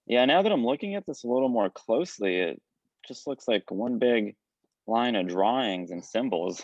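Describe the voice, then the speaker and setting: A man improvising a polite job interviewer in a comedy sketch, halting and diplomatic, in a slightly dull recording. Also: mid-range voice, lively intonation, darkish tone, no room sound. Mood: polite, hesitant.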